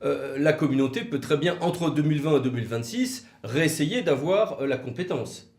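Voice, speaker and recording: A man presents a legal explainer in a lively, varied voice. He is didactic, leaning on key words and asking rhetorical questions. The sound is roomy and echoey. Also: a little high, normal speed. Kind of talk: explanatory legal video talk, semi-scripted.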